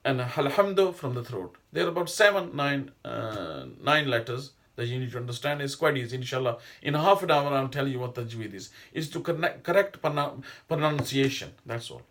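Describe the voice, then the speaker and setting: Man, middle-aged, informal religious teacher, rambling, hesitant restarts, medium pitch. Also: expressive, normal speed, hardly any pauses, fairly bright tone. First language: not Arabic. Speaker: Islamic teacher.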